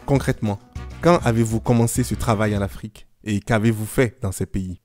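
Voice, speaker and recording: A man hosts a podcast and puts his questions calmly and evenly. His voice is mellow and dark, with faint music beneath it. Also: fairly low pitch, brisk. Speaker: podcast host. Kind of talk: interview questions.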